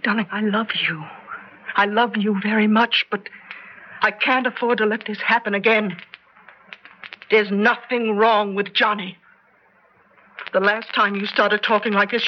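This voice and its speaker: A woman's voice: a little high, a little hiss or hum, lightly acted. A woman playing worried wife Martha. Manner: soothing yet firm, pleading. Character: worried middle-aged wife Martha, firm and patient. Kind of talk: 1970s radio drama acting. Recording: dull lo-fi old radio recording.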